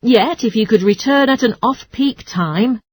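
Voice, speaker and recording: A woman's voice: on the high side, measured pace, a little mellow, no echo. A woman playing a polite, helpful transport information clerk who explains things in a scripted exercise dialogue. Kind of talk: scripted listening-test dialogue.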